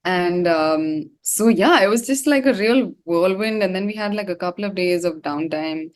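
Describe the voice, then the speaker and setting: Female singer as interview guest, slightly low register, informal and rambling delivery. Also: normal speed. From India. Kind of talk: casual chat.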